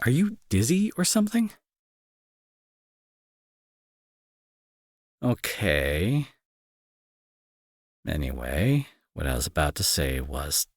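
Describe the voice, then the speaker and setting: An irritable young male bully, acted by a man, speaks in a slow, dramatic delivery that swings widely in pitch. He is sarcastic and scolding with worry underneath, in a dry, close audio-drama recording. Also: a bit bright, husky, no room sound, heavily acted. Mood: alarmed, concerned. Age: young adult. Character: irritable young male bully, gruff but secretly worried. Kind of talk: intimate roleplay audio drama acting.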